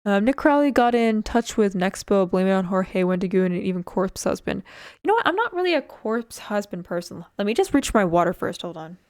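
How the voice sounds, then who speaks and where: A woman's voice: medium pitch, lively intonation, a little fast, darkish tone. A woman streamer chatting casually while building a model kit, rambling with lots of fillers and little laughs. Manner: rambling, filler-heavy, giggly, self-deprecating.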